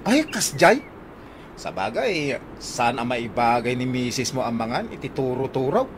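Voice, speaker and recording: A man's voice: mid-range voice, very animated, a little slow, noisy background. A man playing a chummy, teasing, thrifty office buddy in a comedy radio drama.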